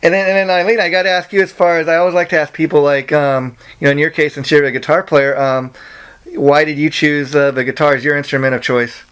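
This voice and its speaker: Rambling man with a clear, smooth voice. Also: fairly high pitch, little pitch movement, fast talker, fairly bright tone. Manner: rambling, repeats "you know" and "like". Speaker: interviewer. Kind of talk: casual music interview. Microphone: phone call.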